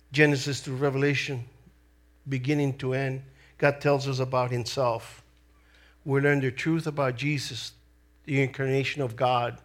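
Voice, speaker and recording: A middle-aged man with a Salvadoran Spanish accent preaches in a slow, unhurried cadence, Spanish being his first language. His voice sits at an ordinary middle pitch, and he talks in an earnest, deliberate way with pauses between phrases. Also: a bit bright. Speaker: lay preacher. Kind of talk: sermon.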